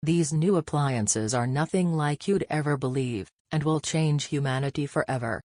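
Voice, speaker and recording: A woman's voice: low voice, moderate pace, a little mellow, no echo, studio quality. A woman narrating a scripted product advertisement as a voice-over with a synthetic-sounding delivery.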